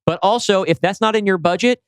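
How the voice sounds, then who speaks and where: A man's voice: high voice, a little fast, clear, resonant tone, no echo, studio quality. A man co-hosting a podcast, explaining his ideas tentatively in a casual workshop discussion. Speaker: podcast co-host and scriptwriter.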